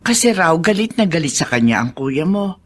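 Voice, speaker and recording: A woman's voice: fairly low pitch, big pitch swings, moderate pace. A woman playing an elderly, earnest, gossipy family friend in a radio drama, speaking frankly. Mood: earnest, confiding. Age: older.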